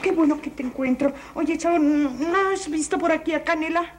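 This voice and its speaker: Una mujer interpretando a una solterona mayor y amable que habla en un tono suplicante y meloso. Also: female voice, sits high, moderate pace, very noisy, lightly acted. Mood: pleading.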